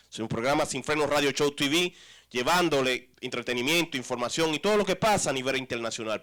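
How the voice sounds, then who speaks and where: A man hosting a radio talk show, lively, chatty and teasing with his guest. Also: medium pitch. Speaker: host.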